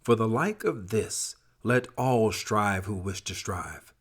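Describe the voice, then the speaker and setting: Husky low-voiced man reading scripture. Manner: measured, expressive, formal archaic diction. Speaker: scripture reader. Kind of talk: solemn dramatic scripture reading.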